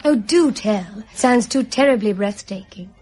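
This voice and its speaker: An actress playing a flippant, thrill-seeking bright young society woman in a radio drama, gushing in upper-class English slang. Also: mid-range voice, expressive, measured pace, slightly rough. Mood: intrigued. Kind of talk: British radio drama acting.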